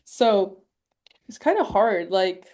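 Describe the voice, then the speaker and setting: A woman in a relaxed podcast conversation with a voice of average pitch. She teases her co-host and hesitates with "like" and "um" as she thinks aloud. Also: takes it slowly. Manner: playful teasing, hesitant when thinking. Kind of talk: casual chat.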